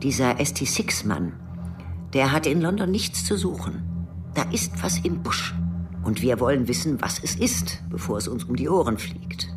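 An actress playing a secretive intelligence officer, terse and matter-of-fact, in a radio drama. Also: female voice, extremely low pitch, very animated, normal speed, recorded from a distance, over music. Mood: wary. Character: secretive female intelligence officer briefing a colleague. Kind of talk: radio drama acting, low dialogue.

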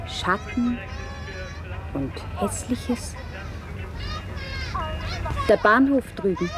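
An actress playing an observant woman who lists impressions in deliberate, clipped fragments as a poetic radio monologue. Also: fairly high pitch.

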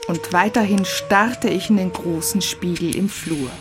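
A woman's voice: lowish voice, a little slow, over music. A woman reading scripted first-person narration in a composed storytelling manner.

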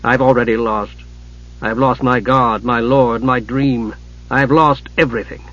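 A man's voice: a little high, normal speed, fairly bright tone, highs cut off. A man voicing an earnest, honor-bound young knight in a fantasy story, solemn and intense, troubled yet proud. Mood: resigned.

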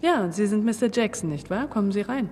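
A woman's voice: mid-range voice, lively intonation, fairly quick, darkish tone, long reverb tail, slight background noise, natural voice. A woman playing a self-assured young woman of postwar Germany in a radio crime drama. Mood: cool, polite.

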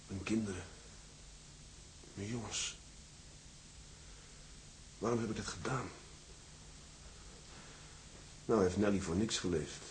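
Hot-tempered, brooding sea captain, embittered and desperate, radio drama with audible hiss. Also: male voice, low-pitched. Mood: anguished, remorseful. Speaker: actor. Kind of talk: Dutch radio drama acting. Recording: hissy, noisy old radio recording.

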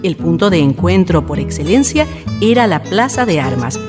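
Over prominent guitar music, a woman narrates a radio drama in a fairly low voice. Her delivery is formal and lyrical, like scripted storytelling for listeners. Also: big pitch swings, moderate pace.

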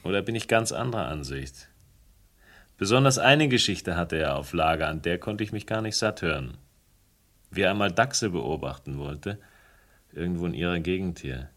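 A sardonic male accuser in a radio crime drama, speaking in a low voice. His phrasing is precise, dry and pointed as he persistently builds a case for murder. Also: lively intonation, moderate pace, fairly bright tone. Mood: dryly relishing.

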